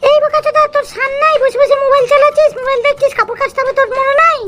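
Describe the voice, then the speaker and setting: A woman's voice: extremely high pitch, fairly even intonation, very fast, noisy background. A woman voicing a bossy, foul-mouthed village wife in a comedy cartoon, scolding and commanding. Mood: angry scolding. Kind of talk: Bengali comedy cartoon dialogue.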